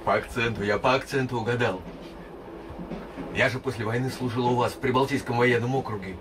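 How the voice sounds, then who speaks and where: An actor playing an older Soviet veteran on a train, lecturing a young passenger and growing heated. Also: male voice, medium pitch, fairly even intonation, fairly quick, noisy background, close to an everyday voice. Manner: lecturing, grows heated and emphatic. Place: train compartment.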